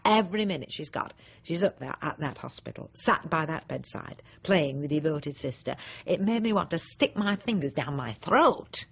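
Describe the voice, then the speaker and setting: A woman's voice: low voice, expressive, moderate pace, gravelly, slightly rough, full character voice. A woman acting a self-righteous, snobbish middle-aged housewife in a confiding radio drama monologue, prim, pointed and self-justifying. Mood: indignant. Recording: slightly dull, lo-fi radio recording.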